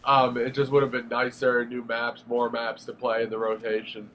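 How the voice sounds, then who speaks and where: A man's voice: medium pitch, monotone, moderate pace, fairly bright tone. A young man with a stuffy nose from a cold, giving a casual, rambling spoken review of a game with lots of fillers and restarts. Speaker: gaming video reviewer.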